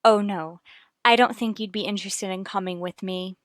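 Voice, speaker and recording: A woman's voice: mid-range voice, steady tone, normal speed, fairly bright tone, no room sound, studio quality, lightly acted. An actress playing a genteel, breezy mother of a small boy in a mid-century radio soap opera, polite and airy. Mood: soothing, breezy.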